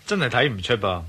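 A man's voice: medium pitch, bright, forceful tone, breathy edge, full character voice. A man playing a curious, teasing Hong Kong tourist in a radio drama, casual and wry. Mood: wry, skeptical. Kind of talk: Cantonese radio drama acting.

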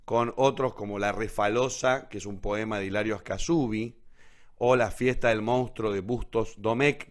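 Man, Argentine accent, literature host, didactic, informal online talk, frequent tag questions. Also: fairly low pitch.

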